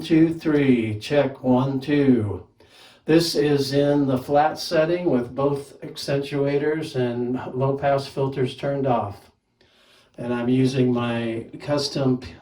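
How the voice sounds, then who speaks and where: A man's voice: medium pitch, warm tone, echoey room, far from the mic, rough recording. A man casually reviewing a microphone, talking plainly and counting off mic tests. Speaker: gear reviewer. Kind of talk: casual product demo with mic checks.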